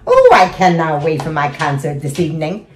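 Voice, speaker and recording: A woman's voice: low-pitched, wildly swooping pitch, moderate pace, a little hiss or hum. A woman playing a chatty, giddy housewife and mother of seven who gushes and talks to herself while putting on makeup. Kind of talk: comic character monologue.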